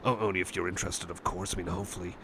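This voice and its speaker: A man's voice: sits low, steady tone, rapid-fire, background noise, lightly acted. A man voicing a shy, anxious, affectionate young male cyborg friend, stammering and apologetic in an intimate ASMR roleplay. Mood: relieved, tentative. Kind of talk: ASMR roleplay, intimate one-sided chat.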